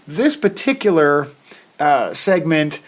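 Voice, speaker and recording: A middle-aged man from Maine hosting a solo podcast, his voice raspy from a cold, talking in a folksy, conversational way with plenty of filler. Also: fairly high pitch, sing-song, slow pace. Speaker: podcast host, financial planner. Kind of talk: casual solo podcast talk.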